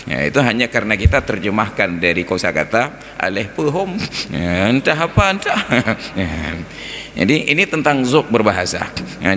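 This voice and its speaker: A man's voice: on the low side, fairly bright tone. A man with an Acehnese accent giving an informal Islamic lecture, conversational, asking rhetorical questions and illustrating with everyday examples. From Aceh, Indonesia. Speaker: Islamic teacher giving a mosque study talk. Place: large reverberant mosque interior. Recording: echoey hall with background noise.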